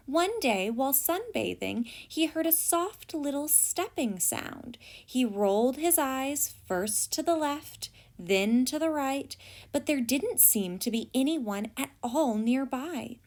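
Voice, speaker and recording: High-voiced, animated woman reading a storybook. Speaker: teacher reading aloud. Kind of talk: children's storybook narration.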